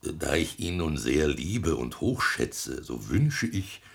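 A self-important elderly town mayor in a radio comedy. The voice is very deep and unhurried, swinging widely as he declaims and blusters. The delivery is theatrical and full of stuffy officialese. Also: sing-song, creaky, full character voice. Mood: awed, self-important. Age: older.

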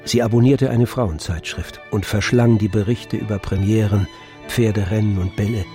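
A man narrating a literary radio drama from a script in a measured storytelling manner. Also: lowish voice, fairly even intonation, warm tone. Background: music with violin.

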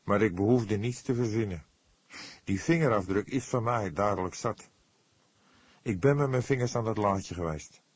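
A man's voice: fairly low pitch, breathy edge, no echo. Man als gespannen jonge verdachte, hese stem. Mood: agitated, explaining. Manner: halting, pleading, earnest. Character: anxious young male suspect, defensive and emotional. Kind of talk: audiobook character dialogue.

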